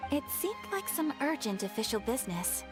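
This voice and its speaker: Casting: gentle young noblewoman, somewhat high voice, courteous and measured, game cutscene with faint music. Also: very animated. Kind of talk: video game voice acting.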